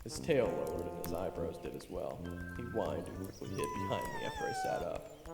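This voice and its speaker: Young male adventurer acted by a man, very animated delivery, goofy, boastful, theatrical audio drama storytelling, reverberant sound, music behind. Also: fairly low pitch, fairly quick, creaky, full character voice. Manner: theatrical, joking, conversational storytelling. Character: goofy, boastful, playful young human adventurer recounting his tale. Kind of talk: first-person audio drama narration and dialogue. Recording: echoey, reverberant, music underneath.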